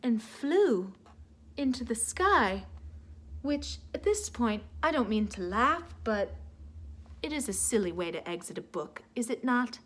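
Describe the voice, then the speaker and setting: A woman reading a storybook aloud like a teacher to children, theatrical and expressive, with asides to her listeners. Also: fairly high pitch. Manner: theatrical, expressive, drawn-out emphasis.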